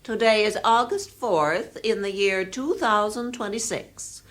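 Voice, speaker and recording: A woman voicing an automated house announcer in an audio drama, reading out reminders in a measured, formal, impersonal way. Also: medium pitch, fairly bright tone, close to an everyday voice.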